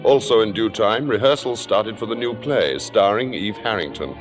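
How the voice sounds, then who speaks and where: An actor playing a suave, controlling theater critic in an old radio drama, with urbane, sardonic and precise phrasing. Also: male voice, on the low side, normal speed, soft background music, natural voice. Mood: wry, detached. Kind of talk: 1950s radio drama acting and narration. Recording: reverberant old-time radio broadcast recording.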